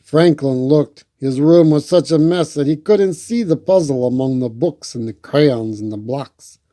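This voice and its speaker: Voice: mid-range voice, a little slow, dark tone. A storytime host reading a picture book aloud and adding playful, rambling, chatty asides.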